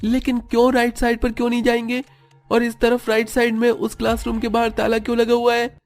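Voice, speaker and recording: A man's voice: very high-pitched, completely flat delivery, brisk, soft background music. A man voicing a defiant, cheeky schoolboy in a cartoon story, with an insolent manner. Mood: questioning. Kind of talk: Hindi cartoon story dialogue.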